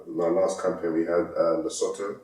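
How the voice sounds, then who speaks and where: A young man, a football interview guest who talks in a soft, laid-back way with plenty of "like". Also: low voice, level tone, fairly quick. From England. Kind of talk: casual interview. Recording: roomy sound from a distant mic.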